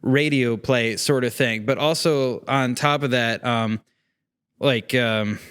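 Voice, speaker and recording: Smooth-voiced man chatting as a guest. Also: mid-range voice, steady tone, takes it slowly, fairly bright tone. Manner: rambling, full of "you know" and "like". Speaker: podcast guest, voice actor. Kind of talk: casual chat.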